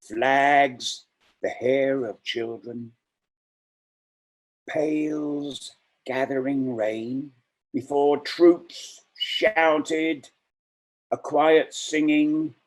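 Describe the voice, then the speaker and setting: A man reading poetry aloud as a poet, measured, pausing between short phrases. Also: medium pitch, a little mellow.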